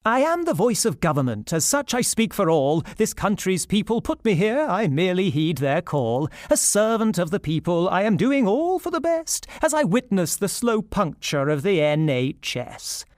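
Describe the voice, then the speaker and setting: A man's voice: high voice, big pitch swings, moderate pace, hardly any pauses, dry recording, clean studio sound. A male actor playing a smug, callous government spokesman, pompously reciting satirical verse in a theatrical, declamatory style. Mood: smug, grandiose.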